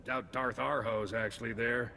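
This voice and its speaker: An actor playing a gruff male intelligence agent in a video game, curt and matter-of-fact. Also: mid-range voice, steady tone, normal speed, bright, forceful tone, slight background noise. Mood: brisk. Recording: game audio with long reverb.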